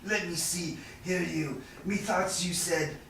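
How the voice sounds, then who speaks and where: A man acting a bitter, sly moneylender in a Shakespearean staged reading, with a hesitant, drawn-out, chuckling delivery. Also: high-pitched, gravelly, fairly bright tone, heavily acted. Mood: sly, musing. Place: live staged reading in a reverberant room. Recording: echoey room, distant mic, faint noise.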